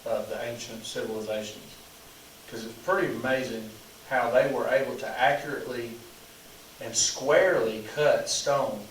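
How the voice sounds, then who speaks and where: Hoarse pastor in echoey room. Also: male voice, mid-range voice, slight background noise. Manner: rambling, frequent "you know" fillers. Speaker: pastor leading a Bible study. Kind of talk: casual teaching and group discussion. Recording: echoey room, mic at a distance.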